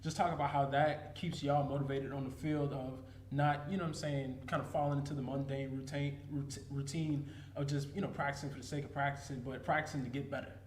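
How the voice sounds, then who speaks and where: A man's voice: mid-range voice, level tone, brisk, few pauses, a little mellow, roomy sound, slight background noise. A man hosting a college sports show, asking questions in a casual, loose way. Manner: casual, loose phrasing with fillers.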